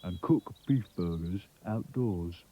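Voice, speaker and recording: A man voicing a gloomy, slow-talking male toy with a droopy delivery. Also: sits low, lo-fi sound. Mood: glum.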